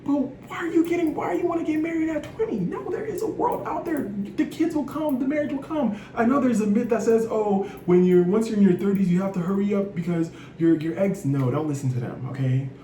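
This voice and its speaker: A young man in his twenties giving frank, animated advice in a casual monologue, swearing freely and throwing in sassy asides. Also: high voice, fairly quick, few pauses. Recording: roomy echo, distant from mic, noisy.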